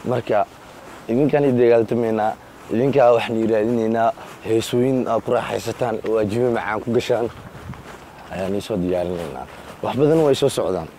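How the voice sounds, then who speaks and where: A young man in his twenties from Somalia, speaking halting English with a Somali accent in a street interview, switching to quick Somali. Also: on the low side, warm tone, a little hiss or hum. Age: 20s. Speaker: musician guest. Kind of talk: street interview, casual chat. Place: city street outdoors.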